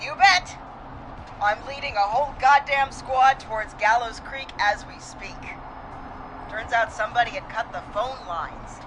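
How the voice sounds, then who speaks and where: A woman's voice: a little high, measured pace, background noise, lightly acted. A woman playing a confident, folksy small-town police deputy, speaking with casual resolve in a dramatic scene. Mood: brisk, determined. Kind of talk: dramatic game voice acting.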